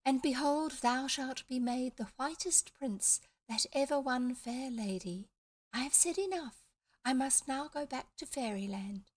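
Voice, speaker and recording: A woman voicing a sly female parrot who pretends to be a fairy queen, in a small, high, girlish voice with grand, mock-old-fashioned speech. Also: measured pace. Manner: mock-archaic, grandly solemn. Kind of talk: reading a storybook aloud.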